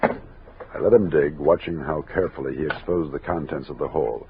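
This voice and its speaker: An actor playing a steady frontier army captain who leads an expedition and narrates it in measured, commanding old-time radio style. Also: male voice, extremely low pitch. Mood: reflective narration. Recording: tinny noisy old radio recording.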